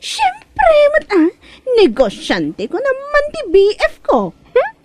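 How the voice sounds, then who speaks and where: A woman's voice: extremely high pitch, wildly swooping pitch, takes it slowly, dry recording, full character voice. A woman with an Ilocano accent playing a boastful, materialistic young ex-girlfriend in a comedy drama. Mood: boastful, giggly. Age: young adult.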